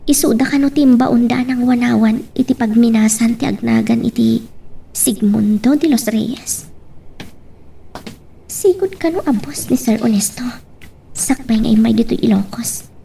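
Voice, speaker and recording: A woman's voice: a little high, normal speed, creaky, mellow, far from the mic. A woman playing a modest, deferential young woman in an audio drama, hesitant and polite. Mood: pondering. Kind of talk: Ilocano radio-style drama acting. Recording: noisy track with ambient sound.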